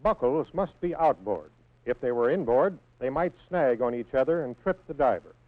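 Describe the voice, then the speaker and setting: A man's voice: mid-range voice, expressive, dry recording. Tinny old training-film narrator, man. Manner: measured, precise, instructional. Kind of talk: scripted instructional narration. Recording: tinny band-limited old film soundtrack.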